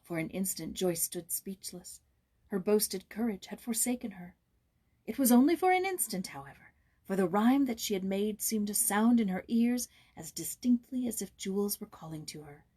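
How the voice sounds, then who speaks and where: Woman, husky voice, dramatic storybook narration, expressive, scripted. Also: mid-range voice, moderate pace. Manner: theatrical, expressive. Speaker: audiobook reader, voice actor.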